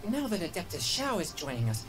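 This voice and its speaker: A woman's voice: fairly low pitch, very animated, fairly quick, over music, poor audio. A woman voicing an earnest teenage boy exorcist in a game, polite, hesitant and easily flustered. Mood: hesitant, puzzled.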